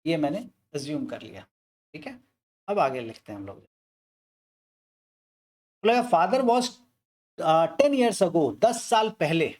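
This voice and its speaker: A man's voice: on the high side, sing-song, slow, pauses often, darkish tone. A man teaching maths, walking through an age word problem step by step and asking and answering his own questions.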